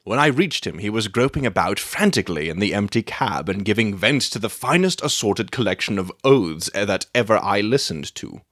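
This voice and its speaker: A man playing a brisk, self-assured Victorian detective in a dramatic audiobook, telling things with confidence. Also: on the low side, lively intonation, fairly bright tone, no echo, clean studio sound. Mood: wry storytelling.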